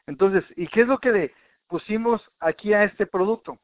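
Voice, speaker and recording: A man's voice: high voice, moderate pace, no room sound. A man presenting an informal health talk in a didactic way, addressing the listener directly, point by point. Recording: narrow, tinny phone-like sound.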